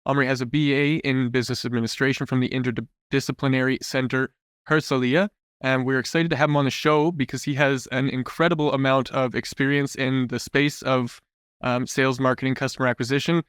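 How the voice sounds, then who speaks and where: A man's voice: medium pitch, little pitch movement, a little fast, a bit bright, clear, resonant tone, no room sound, clean studio sound. A man hosting an interview show, introducing his guest and asking questions in a conversational way.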